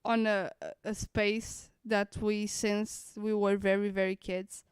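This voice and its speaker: Woman streamer chatting casually, super clean smooth voice, dry close sound. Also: mid-range voice, little pitch movement, slow pace, no room sound. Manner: reflective, repeats and rephrases points. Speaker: streamer reacting to a game. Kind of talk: casual live commentary.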